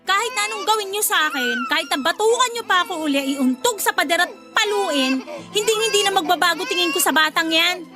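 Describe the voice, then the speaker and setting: A woman playing a bitter, defiant young mother in a radio drama, irritated and sharp-tongued. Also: very high-pitched, expressive, fairly quick. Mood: cold, resentful. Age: young adult. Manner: irritated, sharp-tongued, whining back at parents. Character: bitter, defiant young mother who rejects her baby. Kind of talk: Tagalog radio drama acting. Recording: loud music under the voice.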